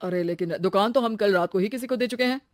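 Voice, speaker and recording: A woman's voice: medium pitch, fast, no echo, lightly acted. A woman plays a shop landlord in a story, a man who will not budge. He sounds matter-of-fact and dismissive, with a dry, close sound.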